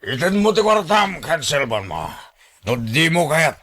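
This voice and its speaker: A man's voice: a little high, sing-song, unhurried, a bit bright, exaggerated voice. A man playing a violent, threatening robber in an Ilocano radio drama, barking demands with an Ilocano accent.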